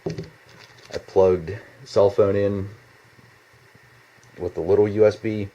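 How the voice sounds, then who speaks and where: A man giving a casual, unscripted product demonstration in a halting, rambling, informal way, recorded indoors in a home basement. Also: lowish voice, flat delivery, mellow. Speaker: product reviewer. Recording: slightly dull, lo-fi home video sound.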